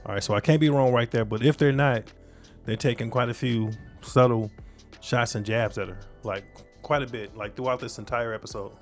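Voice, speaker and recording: Low-voiced man giving casual commentary over music. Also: fairly even intonation, a little fast. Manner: informal, conversational, slangy. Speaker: reaction video host.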